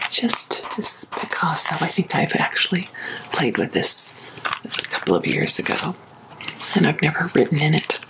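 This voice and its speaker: A woman hosting ASMR, chatting casually in a soft, breathy whisper with a gentle, unhurried, confiding manner. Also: low voice, low-quality recording. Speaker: ASMR video host. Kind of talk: ASMR, casual rambling chat. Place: small sewing and craft room at home.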